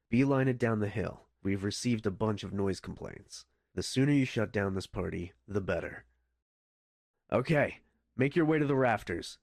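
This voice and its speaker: An actor playing a terse, commanding male mission-control handler in a video game, delivering scripted instructions. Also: lowish voice. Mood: brisk, businesslike. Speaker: voice actor of a game's radio dispatcher. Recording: echoey hall reverb, music behind.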